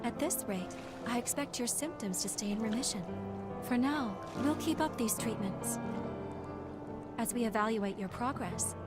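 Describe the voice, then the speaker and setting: Caring woman physician for a game drama, played by an actress: gentle, articulate, emotive, music underneath. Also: fairly high pitch, animated, moderate pace, natural voice. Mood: warm, reassuring. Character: caring, earnest woman doctor nursing her patient, quietly resentful. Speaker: doctor character. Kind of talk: dramatic video game cutscene acting.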